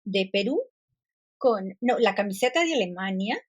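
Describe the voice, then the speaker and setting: A woman's voice: medium pitch, animated, moderate pace, no room sound. A woman podcast host telling an anecdote in a casual, amused way.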